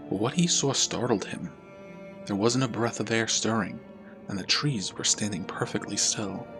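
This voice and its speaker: A man with a fairly low voice reads a scary story. He narrates in a measured, dramatic storytelling manner. Music plays behind his voice. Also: rough recording. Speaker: storyteller, channel host. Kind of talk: scripted horror story narration.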